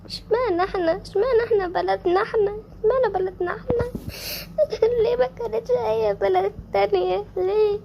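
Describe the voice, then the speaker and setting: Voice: very high-pitched, fairly even intonation, moderate pace, dull audio, slight background noise. A young performer voicing a terrified girl trapped in an earthquake, sobbing and pleading in an Egyptian accent. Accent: Egyptian Arabic. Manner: sobbing, pleading, repeating herself.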